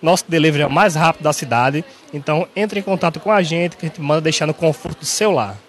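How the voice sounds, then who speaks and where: Young man, Northeast Brazilian accent, slightly high-pitched, steady salesy pitch into a handheld mic in a store. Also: fast. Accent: Northeast Brazilian Portuguese. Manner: salesy, steady, tags lines with "certo?". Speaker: pharmacy staff member. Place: large bright pharmacy, indoors. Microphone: handheld station mic held by interviewer.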